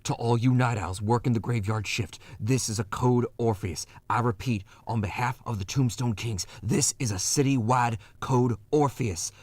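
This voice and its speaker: A man's voice: medium pitch, normal speed, few pauses, a little mellow, dry recording, close to an everyday voice. An actor playing a weary, haunted survivor who narrates his ordeal in a grim, terse first-person horror drama style. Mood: urgent.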